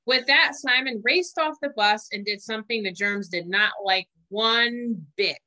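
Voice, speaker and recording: A woman's voice: a little high, unhurried, bright, forceful tone. A middle-aged American woman reading a children's picture book aloud as a storytime reader. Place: small room indoors. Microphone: webcam video call.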